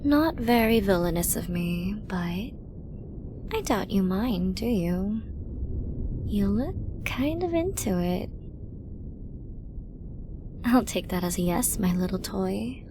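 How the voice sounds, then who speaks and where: Woman voicing a seductive female villain, unhurried, mid-pitched, teasing, possessive, whispery ASMR roleplay, clearly noisy background rumble. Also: expressive. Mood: flirty, teasing. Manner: slow, teasing, breathy lapses into whispering. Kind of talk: ASMR roleplay, intimate direct address.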